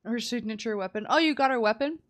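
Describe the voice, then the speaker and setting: A woman's voice: a little high, fast talker. A woman livestreaming a game, chatting casually in a playful, giggly, teasing way. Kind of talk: casual chat while playing.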